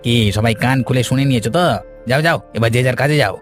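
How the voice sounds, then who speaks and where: A sly cartoon manservant , acted by a man. He comes across as servile on the surface and scheming underneath, in a medium-pitched male voice with faint music behind. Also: quick pace, lightly acted. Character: scheming, outwardly servile male servant of village master. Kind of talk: cartoon voice acting.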